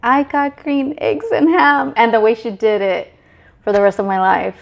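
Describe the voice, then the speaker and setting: A middle-aged woman from Mexico, chatting as a guest in a casual interview, relaxed, with many fillers and restarts. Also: a little high, normal speed, creaky. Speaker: guest, business manager.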